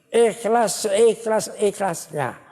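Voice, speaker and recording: A woman religious teacher giving a sermon at a ceremony, speaking slowly and repeating phrases for emphasis. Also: mid-range voice, expressive, warm tone. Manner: slow, repetitive, emphatic preaching. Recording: long hall reverb.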